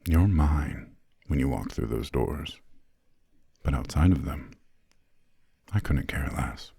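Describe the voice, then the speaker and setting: A man playing an imperious, centuries-old vampire lord in an ASMR roleplay, courteous but condescending, with formal, measured, soft speech and a breathy, gravelly voice close to the microphone. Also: a bass voice, lively intonation, no echo, exaggerated voice. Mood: condescending, wry. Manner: slow, measured, formal, haughty, drawn-out pauses. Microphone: close, dry studio microphone.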